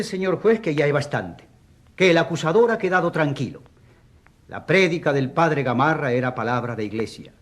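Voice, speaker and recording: An actor playing an eloquent defence lawyer in a courtroom radio drama, rhetorical and emphatic, with sardonic courtesy. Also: male voice, mid-range voice, close to an everyday voice. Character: eloquent, ironic defence lawyer for a rural priest.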